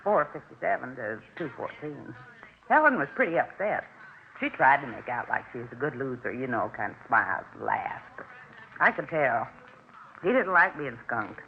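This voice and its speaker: Chatty witness in old radio show, lively gravelly voice, gossipy. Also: male voice, mid-range voice, moderate pace. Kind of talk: 1950s radio drama dialogue. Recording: tinny, noisy 1950s radio recording.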